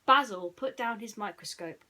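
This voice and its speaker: A woman storyteller speaking in a casual, chatty way, often halting and repeating herself as she goes. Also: mid-range voice, sharp tone. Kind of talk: casual chat and bedtime storytelling.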